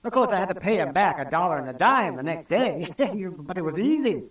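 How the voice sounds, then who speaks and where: Wry, folksy frontier town doctor. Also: male voice, sits high, very animated, a little fast, fairly bright tone. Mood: wry amusement. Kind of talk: old-time radio drama acting. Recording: roomy old radio recording, distant from mic.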